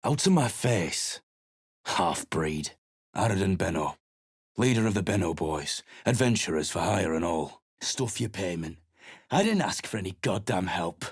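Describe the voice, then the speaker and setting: Gruff sellsword, husky, low-voiced, dry studio sound. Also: male voice, animated, unhurried, no echo, exaggerated voice. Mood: surly. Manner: surly, contemptuous. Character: gruff rough-spoken male mercenary leader. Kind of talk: video game voice acting.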